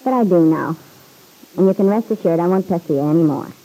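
Breezy, creaky-voiced roommate in radio drama. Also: female voice, fairly low pitch, fairly quick, dark tone, low-quality recording. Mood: breezy, frank. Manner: breezy, folksy, slangy talk. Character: Lily, chatty, plain-spoken, good-natured young woman roommate. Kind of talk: old-time radio serial acting.

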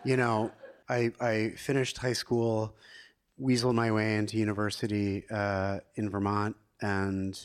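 A man's voice: on the low side, flat delivery, unhurried, clear, resonant tone. A middle-aged man with an American accent telling his story casually and informally as an interview guest. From Pittsburgh, United States, partly Israel.

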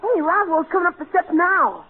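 A woman's voice: very high voice, big pitch swings, moderate pace, loud music behind the voice. A young performer, heard as a woman, playing an eager, grumbling boy in old radio comedy, full of gee-whiz slang. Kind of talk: 1940s radio comedy acting. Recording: tinny, narrow-band old radio recording.

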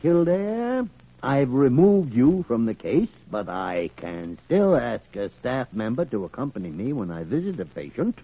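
A crusty old doctor from 1940s radio drama, with a husky rasp and wildly swooping delivery. He is blunt, irascible and stubborn, heard through a tinny, narrow old recording. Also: mid-range voice, very animated, measured pace, exaggerated voice. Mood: grumbling, decisive.

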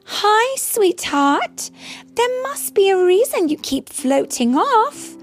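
A woman's voice: very high voice, sing-song, takes it slowly, faint music behind, pitched above the performer's own voice, exaggerated voice. A woman voicing an affectionate, bossy young cartoon puppy girl playing mother in a storybook, with exaggerated childlike expression. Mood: tender.

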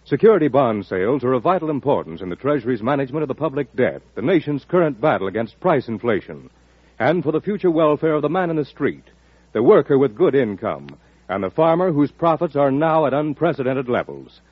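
A man's voice: medium pitch, very animated, a little fast, breathy edge, no echo. A man working as a radio announcer, reading a scripted 1940s public service appeal in a formal, persuasive way. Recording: dull, dry vintage radio recording.

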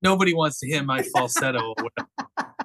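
Bright-voiced man with Boston accent. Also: mid-range voice, animated, a little fast. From Boston area. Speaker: guest author. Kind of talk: casual interview chat.

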